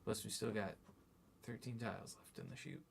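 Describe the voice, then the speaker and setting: A man's voice: fairly low pitch, steady tone, normal speed, creaky, slight background noise. A man chatting casually while playing a board game on a stream, thinking aloud and explaining things pedantically. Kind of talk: casual game commentary chat.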